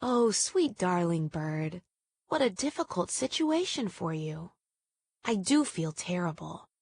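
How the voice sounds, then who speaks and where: A woman's voice: on the low side, big pitch swings, takes it slowly, darkish tone, slightly rough, dry recording, clean studio sound, heavily acted. A woman voicing a beautiful, vain female weeping willow in a children's storybook, sugary, genteel and apologetic. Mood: sweetly apologetic.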